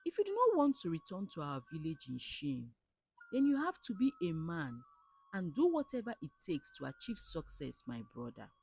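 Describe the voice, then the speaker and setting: A woman's voice: fairly low pitch, wildly swooping pitch, normal speed, creaky, darkish tone, breathy edge, faint music behind, full character voice. A woman with a Nigerian accent voicing a sly, worldly male friend in a folktale, insistent and knowing as he persuades. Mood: urging. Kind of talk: storybook folktale character.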